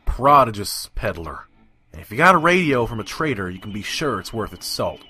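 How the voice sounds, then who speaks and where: A man voicing a world-weary, gruff pony trader in a wasteland audio drama, with a folksy, jaded drawl. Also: fairly low pitch, very animated, fairly quick, pushed and bright, slight background noise, heavily acted. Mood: instructing, guarded. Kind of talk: scripted first-person narration and audio drama. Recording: roomy, echoing, distant mic.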